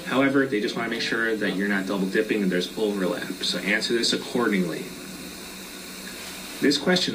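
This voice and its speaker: A man's voice: medium pitch, distant mic, background noise. A man who is losing his voice, explaining loan and tax forms step by step in a casual explainer video.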